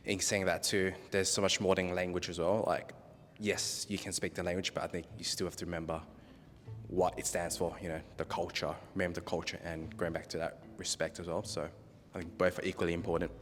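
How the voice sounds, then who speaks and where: Very deep-voiced reflective man. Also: level tone, a little fast. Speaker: panel guest. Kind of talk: conversational panel discussion. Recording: long reverb, faint background music.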